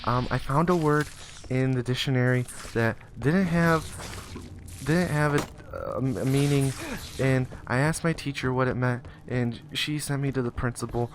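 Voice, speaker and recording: A man voicing an anxious, bewildered little schoolboy in a comic story, stammering and halting as he talks. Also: medium pitch, noisy background, voice pushed up, natural voice. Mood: sheepish.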